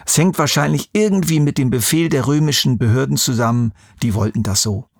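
A man with a gravelly, husky voice at a medium pitch answers as an expert for children. He explains conversationally and in measured, orderly steps. The recording is close and dry. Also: a little mellow, dry recording.

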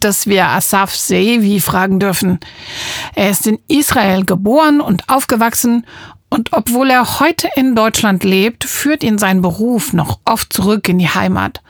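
A woman's voice: mid-range voice, dry recording, studio quality. Woman narrating a kids' podcast. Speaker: podcast host. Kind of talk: scripted narration.

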